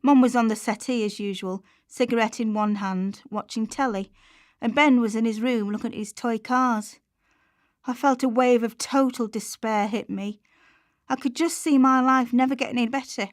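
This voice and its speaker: Woman acting worried struggling mother, higher-pitched, confiding, colloquial, radio drama monologue, dry sound. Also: fairly even intonation, moderate pace, no room sound, close to an everyday voice. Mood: downcast, confiding. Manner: confiding, plain-spoken, colloquial. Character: Chantelle, anxious struggling working-class mother on benefits, confiding. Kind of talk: confessional radio play monologue.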